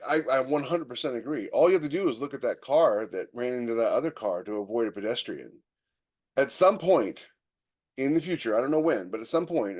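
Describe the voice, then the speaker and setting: A man's voice: mid-range voice, darkish tone. An American man hosting a podcast in casual chat, opinionated and given to illustrating his points with anecdotes. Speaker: podcast host.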